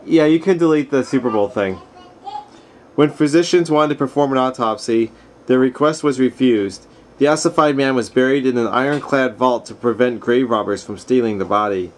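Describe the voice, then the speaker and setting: A man reading a nonfiction book aloud in an informal, easygoing way, dropping in casual asides. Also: medium pitch. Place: at home, household around. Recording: noisy home camera recording.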